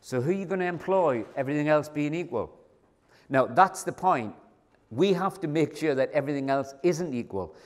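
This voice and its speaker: A man's voice: fairly high pitch, expressive, moderate pace. A man giving a conversational, emphatic keynote talk to a large conference audience. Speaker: keynote speaker. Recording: long hall reverb.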